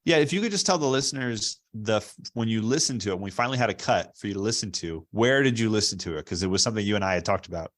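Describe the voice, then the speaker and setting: A man's voice: fairly low pitch, lively intonation, rapid-fire, hardly any pauses, fairly bright tone. An American man hosting a casual interview, talking in a reflective, explanatory way. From Baltimore, US East Coast. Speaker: podcast host, producer.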